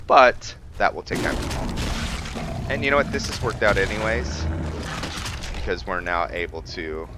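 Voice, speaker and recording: A man giving casual commentary on a roleplay video game, thinking aloud with halting pauses. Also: low voice, sharp tone, a little hiss or hum. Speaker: gaming video host.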